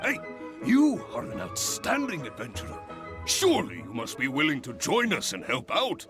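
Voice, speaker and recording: A man's voice: medium pitch, wildly swooping pitch, moderate pace, music in the background. A man playing an exuberant, theatrical adventurers' guild leader in a video game, effusive and grand in his enthusiasm. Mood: proud, enthusiastic. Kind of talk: video game character acting.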